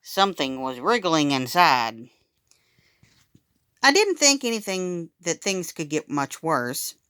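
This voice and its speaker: A woman reading a children's story aloud in an animated, expressive way, acting out the dialogue as she goes. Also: deep voice, slow pace, clean tone, no echo, studio quality.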